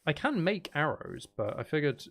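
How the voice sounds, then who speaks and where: A man's voice: fairly high pitch, big pitch swings, normal speed, vocal fry, a bit bright. A man doing casual live gameplay commentary, rambling and thinking aloud as he chats with viewers. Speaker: video game livestreamer.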